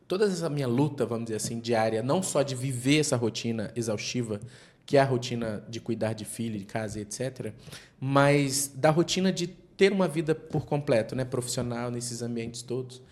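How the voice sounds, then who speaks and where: A man's voice: mid-range voice, normal speed, darkish tone. A man as a podcast guest, speaking earnestly and reflectively in long stretches built around images like nests and wildfires. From Brazilian Northeast. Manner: earnest, speaks in extended metaphors. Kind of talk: reflective interview talk.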